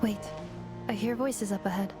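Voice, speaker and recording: A woman's voice: mid-range voice, fairly even intonation, moderate pace, over music, natural voice. An actress playing a literal-minded female robot in a video game, clipped and procedural. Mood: neutral, alert.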